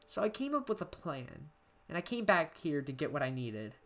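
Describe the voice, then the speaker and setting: A woman playing an anxious, isolated diarist in a horror story, reading in a halting, emotive way with music behind her. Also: sits low, sing-song. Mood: uneasy, restless. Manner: halting, emotive, with stammered repeats. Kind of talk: dramatic first-person horror story narration. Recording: background music under voice.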